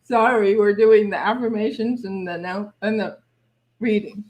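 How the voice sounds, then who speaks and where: A woman's voice: mid-range voice, moderate pace, darkish tone, rough recording. A woman host giving casual, chatty announcements for a spiritual service.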